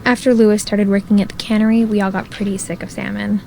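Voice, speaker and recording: A woman voicing a young woman who narrates her return to her family home, in a quiet, wistful storytelling manner. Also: medium pitch, flat delivery, fast talker, gravelly, slight background noise, natural voice, poor audio. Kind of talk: game narration.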